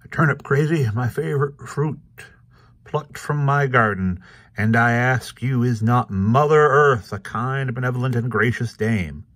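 Man doing a florid, high-voiced shaggy cartoon dog. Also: measured pace, a bit bright, no echo. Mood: rapturous. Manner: florid, oratorical.

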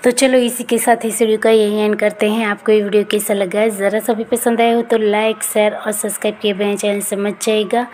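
A woman narrating her road trip vlog as a voice-over, recounting each stop in an even, matter-of-fact way. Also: medium pitch, fairly quick, few pauses, a little hiss or hum. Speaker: vlogger.

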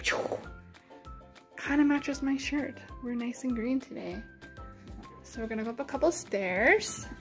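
A woman in her thirties from Canada, chatting casually while showing her home on a handheld phone camera. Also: fairly high pitch. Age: 30s. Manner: chatty, easygoing, with many fillers like "like". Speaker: vlogger giving a house tour. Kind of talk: casual house-tour chat. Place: indoors in a home. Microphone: handheld phone camera at arm's length.

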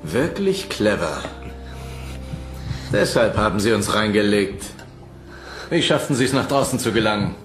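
A man's voice: lowish voice, very animated, unhurried, pauses often, echoey room, music in the background. An actor playing a shrewd, coolly threatening man in a sci-fi audio drama. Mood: cool menace.

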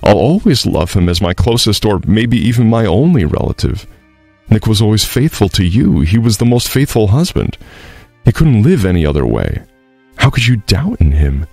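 An earnest young woman, warm and grieving, in a storybook reading, voiced by a man in a deep, gravelly voice. The lines come from close up and dry, with soft music underneath. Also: sing-song, moderate pace, dry recording, exaggerated voice.

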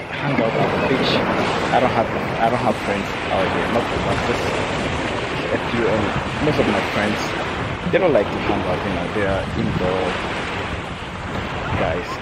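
A young man with a lowish voice talks into a phone camera held at arm's length on an open beach. He rambles in a relaxed way, with plenty of "you know". Loud wind and surf roar behind him. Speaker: vlogger. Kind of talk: casual selfie vlog. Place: sunny open beach by the sea, outdoors.

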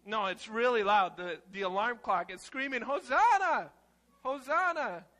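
A young man with a bright, forceful voice pitched well above most men. He talks to children at church in a casual, playful sermon style, animated and informal, with frequent exclamations. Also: normal speed. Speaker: children's message leader at church. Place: church sanctuary, seated on chancel steps among children.

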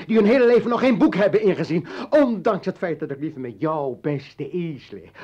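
An embittered revolutionary leader and desperate father in a radio play. His voice sits a little high as he rambles in grand rhetoric, brooding and then bursting out. The old recording is dull and lo-fi. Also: a little mellow. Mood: impassioned. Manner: rhetorical, impassioned, swinging between brooding and outburst. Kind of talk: dramatic radio play acting.

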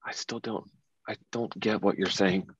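A man's voice: fairly low pitch, fairly even intonation, unhurried, husky. A man acting a distraught, wounded and indignant character in his late thirties in a dramatic script reading. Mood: bewildered, hurt. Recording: echoey room, slightly dull sound.

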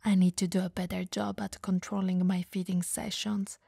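A woman playing a teasing, dominant but caring vampire in an ASMR audio roleplay, breathy and gravelly, often dropping to whispers, coaxing and playfully condescending. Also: mid-range voice, level tone, normal speed, dark tone, no echo, clean studio sound. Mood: wry, defensive.

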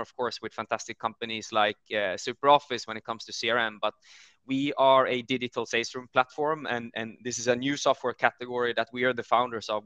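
Energetic Swedish male guest, 30s, native Swedish, forceful and clear, dry headset mic. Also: mid-range voice, no echo. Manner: energetic, many fillers and repeated words. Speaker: guest executive. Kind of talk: business webinar conversation. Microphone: headset on video call.

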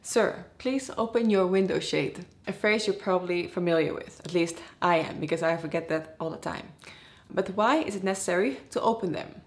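A woman's voice: on the low side, moderate pace. A woman in her twenties from the Netherlands with a Dutch accent, presenting a scripted explainer to camera as a vlogger. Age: 20s. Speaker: vlogger, airline pilot.

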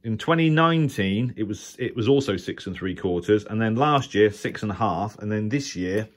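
A man's voice: lowish voice, lively intonation. A British man giving informal, unscripted commentary as a radio hobbyist, hesitant and rambling, often correcting himself. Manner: hesitant, halting, rambling delivery with self-corrections. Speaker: shortwave radio hobbyist presenter.

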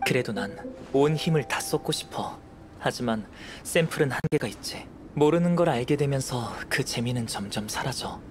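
An actor playing a calm, curious young male alchemist in a game, speaking in a measured, composed, articulate way. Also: medium pitch, lightly acted. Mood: calm, reflective. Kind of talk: scripted game dialogue. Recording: music behind the voice.

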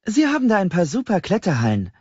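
Guy doing a sporty young woman in an audiobook, polite but picky, raised pitch, kinda husky. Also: wildly swooping pitch, quick pace, darkish tone, no echo, lo-fi sound, heavily acted. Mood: disapproving. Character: polite young athletic woman, opinionated about climbing walls.

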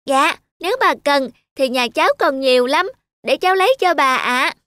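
A woman's voice: sits high, normal speed, a bit bright, dry recording, voice pushed up. A woman voicing a sweet, curious little girl who speaks politely and eagerly, in a cartoon. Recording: music bed under voice.

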